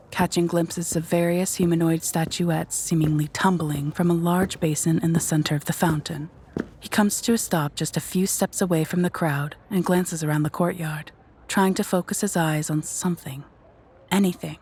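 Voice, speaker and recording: A woman reading scripted narration for a dark audio drama in a measured, descriptive storytelling manner. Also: fairly low pitch, slight background noise.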